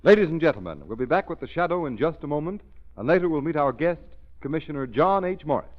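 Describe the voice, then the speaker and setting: A man's voice: on the high side, animated, quick pace, soft background music. An American man working as a 1930s radio announcer, reading an advertisement with an emphatic salesman's delivery. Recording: muffled 1930s radio recording.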